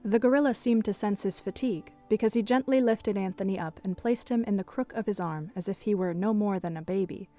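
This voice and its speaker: A woman's voice: mid-range voice, a little fast, hardly any pauses, warm tone, soft background music. A woman narrating a scripted adventure story for a fan audio drama.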